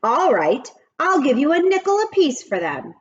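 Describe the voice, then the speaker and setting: A woman's voice: high-pitched, big pitch swings, normal speed, highs cut off, pitched above the performer's own voice. A woman reading a children's storybook, voicing a sly male schoolyard teacher who coaxes and bargains. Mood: haggling. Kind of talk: children's storybook read-aloud.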